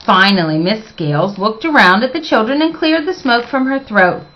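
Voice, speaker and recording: A woman reading a children's storybook aloud as expressive narration, with dramatic, emphatic phrasing. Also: on the high side, moderate pace, muffled recording.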